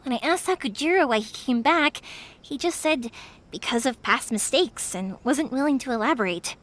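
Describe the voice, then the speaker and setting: High-voiced spirited young woman. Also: animated, moderate pace, fairly bright tone. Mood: understanding. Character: kind, spirited young woman, protective and upbeat. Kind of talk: English video game voice acting.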